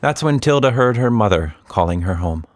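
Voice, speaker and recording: A man narrating a scripted children's story in an expressive, dramatic way. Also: medium pitch, brisk, a little hiss or hum.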